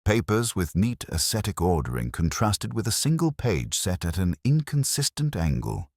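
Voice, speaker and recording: Very deep-voiced male mystery narrator. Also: sing-song, a little mellow, no room sound, clean studio sound. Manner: measured, literary, solemn storytelling. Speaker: audio drama narrator. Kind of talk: scripted first-person detective story narration.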